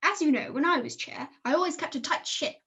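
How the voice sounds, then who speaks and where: A woman's voice: high-pitched, a little fast, pushed and bright. A woman voicing a prim, pompous male puppet in a comedy show, clipped and formal, with muffled video call audio. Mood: smug.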